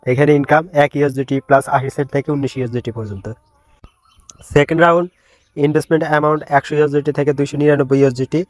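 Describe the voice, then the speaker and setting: A man giving a quick step-by-step voice-over of an app on his phone, with frequent fillers. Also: medium pitch, warm tone, no room sound, poor audio. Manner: rapid step-by-step instructions with fillers. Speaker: online earning tutorial creator. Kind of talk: screen-recorded app walkthrough.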